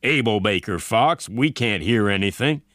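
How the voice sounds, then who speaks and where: Army general played by a male narrator: commanding, urgent and anxious, very lively intonation, for a story. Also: fairly low pitch, normal speed, no echo, studio quality. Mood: anxious, puzzled. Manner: urgent, calling out.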